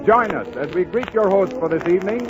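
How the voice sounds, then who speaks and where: Music plays on a dull old radio recording as an American man announces. His voice is high for a man, in the formal announcing style of 1930s radio. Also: animated, brisk.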